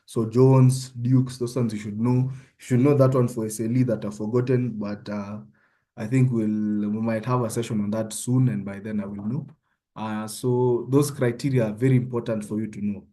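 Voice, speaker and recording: A man's voice: fairly low pitch, flat delivery, moderate pace, mellow. A young Kenyan man with a Kenyan accent, giving an informal online medical tutorial and often checking in with his listeners. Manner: conversational, often checks in with "yeah?".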